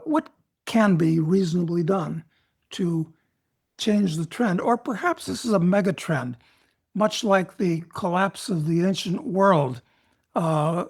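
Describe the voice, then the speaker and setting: A man hosting an interview, asking broad questions in an unhurried, musing way. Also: a little high, creaky, breathy edge. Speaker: host.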